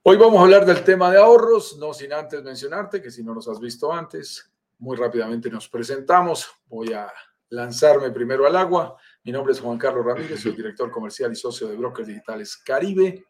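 A man's voice: mid-range voice, lively intonation, normal speed. A man with a Colombian accent, presenting a live stream over a video call in an enthusiastic, welcoming and persuasive way. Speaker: live stream host, sales director.

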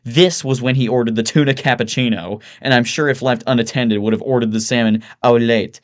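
A man voicing a smug, lewd, loudmouthed young yuppie with a sneering, sarcastic delivery in an audiobook. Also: mid-range voice, fairly even intonation, brisk, close to an everyday voice. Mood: loud disbelief. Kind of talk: audiobook character voice.

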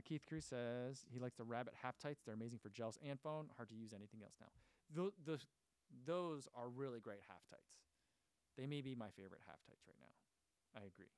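A man's voice: medium pitch, darkish tone, no echo. A man hosting a running channel, casually chatting and reading viewer comments aloud, rambling with lots of fillers and restarts. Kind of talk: casual livestream chat.

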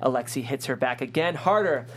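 A woman reading screenplay stage directions as lively action narration, punching out the dramatic effect words. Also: a bass voice, a little fast, slight background noise.

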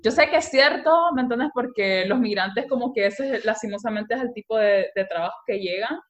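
A young woman with a Honduran Spanish accent, talking in a chatty, animated way and often checking that she is understood. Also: on the high side, a little fast. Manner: chatty, animated, frequent "me entendés" tags. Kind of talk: casual conversation on social issues.

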